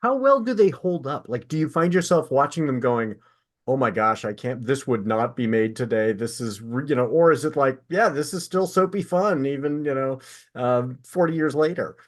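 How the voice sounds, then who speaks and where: Man, casual mid-pitched podcast host. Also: animated, moderate pace. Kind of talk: casual conversational interview.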